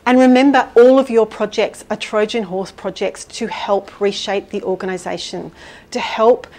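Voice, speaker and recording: A woman giving a conference talk and answering questions, speaking loudly and energetically in a chatty way. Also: mid-range voice, fairly even intonation, normal speed. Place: event hall with audience.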